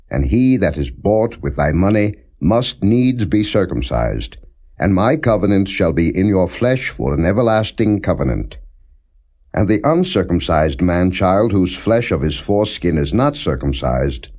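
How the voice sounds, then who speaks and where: A man reading scripture as non-dramatized audiobook narration, in a solemn, measured, declarative way. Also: sits low, darkish tone. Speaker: Bible reader.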